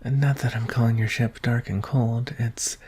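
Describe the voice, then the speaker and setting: A performer voicing a shy, anxious young alien prince in an ASMR roleplay, speaking softly and hesitantly in a breathy, husky half-whisper. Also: mid-range voice, steady tone, natural voice. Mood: timid, lonely. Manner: hesitant, halting, tender. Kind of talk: ASMR roleplay, soft intimate delivery.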